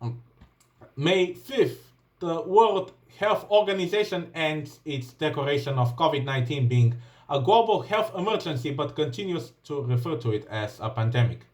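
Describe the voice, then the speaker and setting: A man's voice: on the high side, lively intonation, moderate pace, darkish tone. A man with a Bulgarian accent, English not his first language, reading a list of events from a laptop with frequent ums and uhs. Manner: hesitant, many ums and uhs, restarts while reading. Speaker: vlogger.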